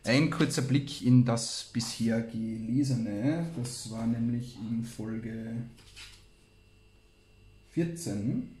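A man hosts a casual reading podcast. His voice sits at a medium pitch, and he talks in a playful, rambling way. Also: very slow, dark tone, slight background noise. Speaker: co-host who reads old science fiction aloud.

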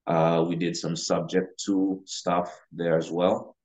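A man's voice: sits low, level tone, slow, a little mellow. A man in his thirties from Uganda, chatting casually as a podcast guest with hesitant, you-know-filled phrasing over a video call. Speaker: podcast guest, real estate investor. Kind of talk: casual interview chat. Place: living room, on a video call. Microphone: video call, sitting back from camera. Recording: dull, lo-fi video call audio.

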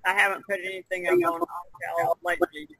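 Bright, creaky-voiced woman chatting. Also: medium pitch, very animated, fast talker, no room sound. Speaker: podcast participant, visual artist. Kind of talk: casual chat. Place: online video conference.

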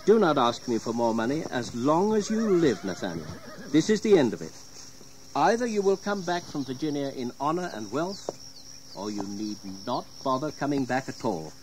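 A man's voice: mid-range voice, sing-song, normal speed, a little hiss or hum, close to an everyday voice. An actor playing a stern, disappointed English squire and father, grave and formal, in an audio history drama.

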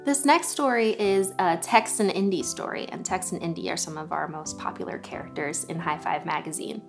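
A woman's voice: on the low side, fairly quick, vocal fry, soft background music. A young adult woman presenting a children's story time, reading aloud and chatting in a friendly way. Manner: friendly, chatty asides to her dog. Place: living room sofa, indoors.

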